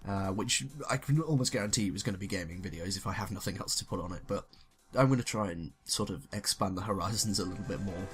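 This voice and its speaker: A man's voice: low-pitched, fast, hardly any pauses, a bit bright. A young man reading a story aloud and talking casually as a comic YouTuber. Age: young adult. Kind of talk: scripted story narration and casual comic commentary.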